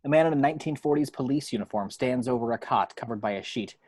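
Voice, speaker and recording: A man's voice: mid-range voice, quick pace, a little mellow, husky, dry recording, clean studio sound. A man narrating screenplay action lines at an amateur table read in a matter-of-fact storytelling way.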